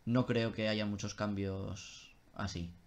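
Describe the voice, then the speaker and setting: A man's voice: on the low side, little pitch movement, takes it slowly, a little mellow, slight background noise. A young man chatting casually on a live stream, answering viewer questions in a loose style with swearing and self-interruptions.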